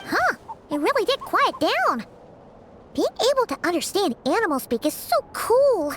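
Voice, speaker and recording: Paimon, a squeaky excitable fairy sidekick. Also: female voice, normal speed, a little hiss or hum, exaggerated voice. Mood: chatty. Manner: chirpy, refers to herself in third person. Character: tiny excitable female fairy companion. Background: game music.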